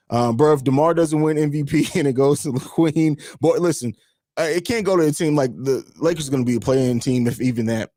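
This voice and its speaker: A hoarse man with a raspy voice hosting a live fan stream, talking emphatically and repeating himself, with casual swearing. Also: a little high, darkish tone, dry recording, clean studio sound. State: hoarse from screaming. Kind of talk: excited sports fan stream chat.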